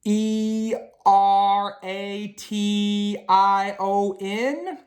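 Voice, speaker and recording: A woman voicing a hesitant schoolgirl in a storybook spelling contest, with careful, drawn-out delivery. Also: mid-range voice, fairly even intonation, darkish tone, soft background music, voice pushed up.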